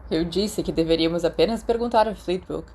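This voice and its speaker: A woman voicing a cautious, thoughtful teenage schoolboy wizard who grumbles gently in an audiobook story. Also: sits high, fairly quick, slightly rough.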